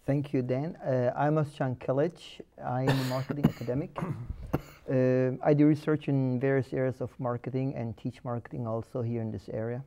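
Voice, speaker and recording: A man's voice: mid-range voice, level tone, moderate pace, dark tone. A middle-aged man from Turkey, an academic, introducing himself carefully with hesitations. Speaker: marketing academic. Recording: dull lo-fi sound.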